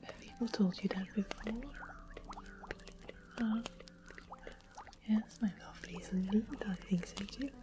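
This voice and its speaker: A woman playing a sweet but sly fantasy witch in a whispered ASMR roleplay, coaxing and softly menacing with polite, breathy speech. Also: mid-range voice, steady tone, a little slow, long pauses, background noise, close to an everyday voice. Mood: sweetly flattering. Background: music and ambient sound.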